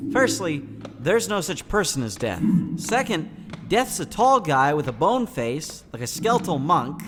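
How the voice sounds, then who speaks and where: Man as animated, sarcastic teenage boy. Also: medium pitch, long reverb tail. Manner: sardonic, wordy, exasperated. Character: cynical, sarcastic teenage boy, gloomy and wary. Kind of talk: comic dub narration and dialogue.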